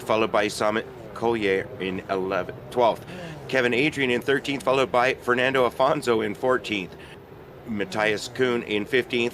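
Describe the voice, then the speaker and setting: A man giving measured color commentary on a sim race, reading grid positions and pausing with "uh". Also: on the low side, a bit bright. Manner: measured, matter-of-fact, with frequent "uh" pauses. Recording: noticeable background noise.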